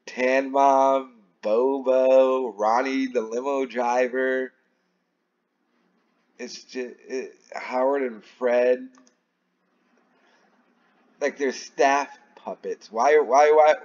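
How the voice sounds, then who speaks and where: Male online commentator, unhurried and rambling, puzzled tone, casual delivery, muffled low-fidelity recording. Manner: baffled, rambling, repeats phrases for emphasis. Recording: dull, lo-fi sound.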